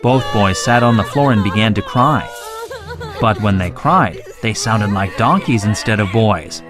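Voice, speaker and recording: A storyteller narrates a scripted tale for children in a mid-pitched voice. Music is clearly heard behind the narration. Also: animated, unhurried.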